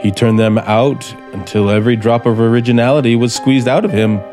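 An actor playing an embittered, desperate scriptwriter with a gun, slow and menacing in 1950s radio suspense style. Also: male voice, mid-range voice, steady tone, darkish tone, close to an everyday voice. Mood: bitter, brooding. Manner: menacing, slow and deliberate, dramatic pauses. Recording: 1950s radio broadcast, music behind.

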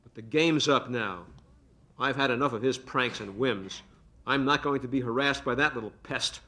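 A man plays a domineering, clock-hearted husband who rants and bullies in a staged play reading. His voice is bright and forceful, and his manner is bossy and blustering. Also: medium pitch, normal speed, natural voice. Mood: threatening.

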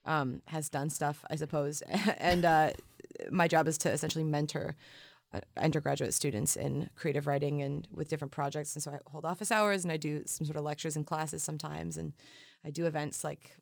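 Young Canadian woman, lowish voice, casual interview guest, self-deprecating humour, dry studio acoustic. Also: fairly even intonation, a little fast, gravelly, no room sound. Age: young adult. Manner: self-deprecating, dryly joking. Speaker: musician and writer guest. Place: radio studio.

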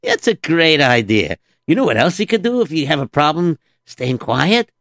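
A male storyteller hosting a children's story show, patiently and dryly teasing a sidekick who keeps interrupting. His voice is bright and moves a lot in pitch. The recording is close and dry. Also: sing-song, moderate pace, dry recording. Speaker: storyteller host.

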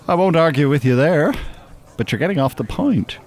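Older man, Dublin accent, radio drama, dry biting wit, mock-formal wording, animated intonation. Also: on the high side, normal speed. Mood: sardonic. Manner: wry, wordy, mock-formal.

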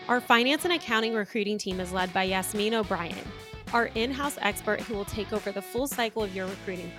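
A woman hosting a podcast, reading a scripted intro and then chatting upbeat with her guest. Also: mid-range voice. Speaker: podcast host.

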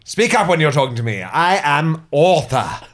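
A man's voice: on the high side, big pitch swings, moderate pace, a bit bright. A man voicing an imperious, bullying male games critic with a haughty, scolding manner. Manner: haughty, scolding, swings into sudden emphasis.

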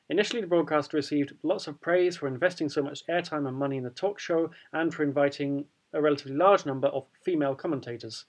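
A man co-hosting a news podcast, chatting casually and then reading news items, laughing easily. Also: a little high. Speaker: podcast co-host. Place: lives in the Netherlands.

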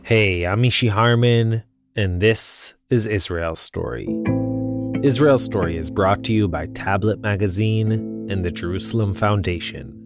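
A man hosting a documentary podcast, reading a measured, somber scripted introduction. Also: lowish voice. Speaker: podcast host. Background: music bed.

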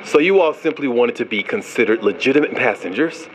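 A man's voice: mid-range voice, lively intonation, brisk, slight background noise, close to an everyday voice. An actor playing a stern ship's investigator in an audio drama, firm, commanding and skeptical as he questions a suspect. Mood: firm, probing. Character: stern, authoritative male ship's investigator running an interrogation.

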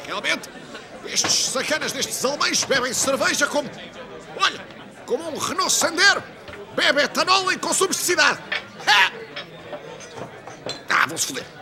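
A man playing a boastful, foul-mouthed immigrant factory worker in a comedy sketch, excitable and bombastic, with a European Portuguese accent. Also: very high voice, unhurried, long pauses, over music, a crowd in the background, full character voice. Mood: mocking. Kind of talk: exaggerated comedy sketch acting.

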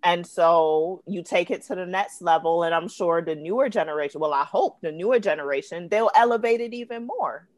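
A woman's voice: lowish voice, brisk, hardly any pauses, no room sound. A woman on a podcast panel, explaining her view emphatically in a casual sports discussion.

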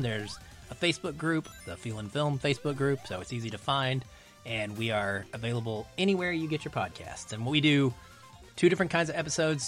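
A man's voice: medium pitch, fairly bright tone. A man speaking casually as a podcast guest who talks about films. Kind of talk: casual chat.

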